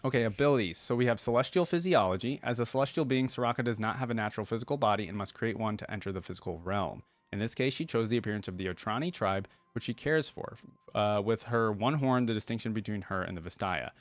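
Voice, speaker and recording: A man hosting a reaction video, reading text aloud and thinking out loud in casual asides between passages. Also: on the low side, lively intonation, fast talker, dry recording.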